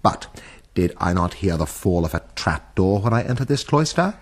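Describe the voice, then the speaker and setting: An actor playing an imperious, suspicious villainous prince in a theatrical, commanding gothic radio drama manner. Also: male voice, sits low, big pitch swings, moderate pace. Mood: suspicious, menacing. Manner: theatrical, commanding, swooping delivery.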